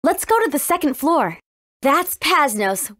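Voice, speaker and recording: A woman's voice: high-pitched, big pitch swings, unhurried, a bit bright, dry recording, clean studio sound, heavily acted. A woman voicing a spirited, cautious fifteen-year-old warrior princess in a video game, earnest and youthful in her delivery. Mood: earnest, explaining. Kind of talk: English video game voice acting.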